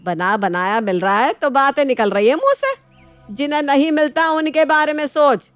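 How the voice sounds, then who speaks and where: A woman's voice: high-pitched, animated, normal speed, no echo, faint music behind, voice pushed up. A woman voicing an older, shrewd mother-in-law in a family story, with a scolding, commanding manner.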